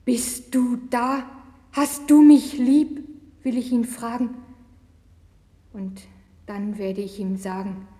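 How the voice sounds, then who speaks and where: A woman's voice: medium pitch, dark tone, lightly acted. An actress in a religious audio drama playing an anguished, pleading young Chinese woman with leprosy who is losing her faith. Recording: long hall reverb, vintage vinyl record.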